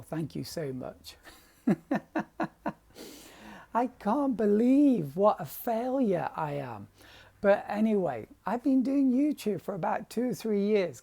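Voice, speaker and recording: A man's voice: high voice, vocal fry, warm tone, slightly rough. A middle-aged English man with a dry voice, rambling hesitantly and self-deprecatingly in a confessional vlog about his painting. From England. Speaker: painter vlogger.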